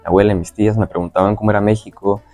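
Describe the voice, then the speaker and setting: A man's voice: fairly low pitch, flat delivery, racing, warm tone, faint music behind. A young man from Venezuela answering as an interview guest, casually recalling his experiences in a slightly hesitant, circling way. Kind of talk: casual personal recollection.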